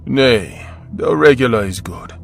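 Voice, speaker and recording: A man plays a tired middle-aged father from South Korea, calm and caring, his pitch moving up and down with life. Faint music plays underneath. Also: measured pace, gravelly. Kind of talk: radio-style story acting.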